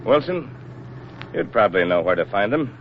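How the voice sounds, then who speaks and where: A stern Navy admiral speaks low, with formal, measured authority. It is 1940s radio drama, muffled and dull, with clear background noise. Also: male voice, sing-song. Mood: grave, businesslike.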